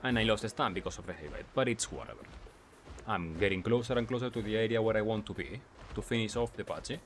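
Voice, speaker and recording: Male gaming commentator, fairly low pitch, explains tactics in brief phrases with question-and-answer delivery, music underneath. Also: fast talker. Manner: asks himself questions and answers them. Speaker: gaming video creator. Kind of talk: gameplay commentary.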